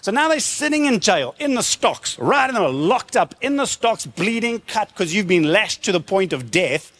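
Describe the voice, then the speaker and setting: High-pitched male preacher telling a story. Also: big pitch swings, normal speed, a bit bright, long reverb tail. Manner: rhetorical questions, emphatic repetition, informal retelling with acted-out dialogue. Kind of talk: animated storytelling sermon.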